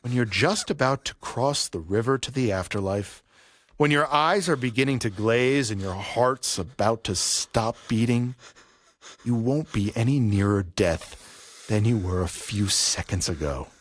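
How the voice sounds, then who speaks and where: An actor playing a vengeful, grieving middle-aged father in an audio play, speaking with deliberate, theatrical menace. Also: mid-range voice, no room sound, studio quality, lightly acted. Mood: grim restraint. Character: vengeful grieving father, a barber settling an old debt.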